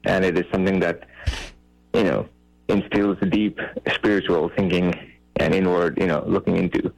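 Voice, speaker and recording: A man from Bangladesh speaks as a caller on a radio show. His voice is very low and creaky, with a dry sound. He explains himself earnestly, in a measured and halting conversational way. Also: level tone, no echo, poor audio. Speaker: radio call-in guest. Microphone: call-in line.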